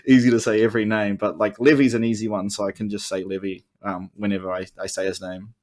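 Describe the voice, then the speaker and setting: A man's voice: fairly low pitch, level tone, fast, darkish tone. A man with a New Zealand accent explaining his views at length in casual, analytical podcast chat, like an esports caster. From Oceania.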